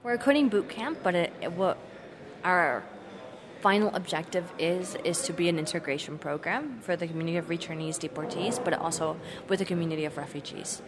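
A woman's voice: fairly low pitch, a little fast, crowd noise. A woman working as a recruitment director, explaining her program thoughtfully in an interview and restarting as she searches for words.

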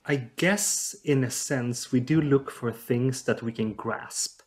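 A man's voice: medium pitch, slow pace. A man from southern Sweden, a linguist guest, giving thoughtful, explanatory interview answers with frequent hesitations. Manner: thoughtful, hesitant with many fillers.

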